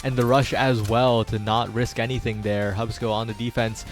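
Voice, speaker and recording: A man's voice: mid-range voice, flat delivery, normal speed, soft background music. A man giving live play-by-play esports commentary, following the action in long running sentences.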